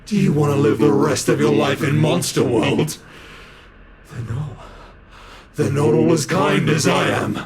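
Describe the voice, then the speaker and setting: A man's voice: fairly high pitch, measured pace, natural voice. A man with a British accent playing a predatory male monster from under the bed in an ASMR horror roleplay, taunting his prey with playful menace. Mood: taunting chase.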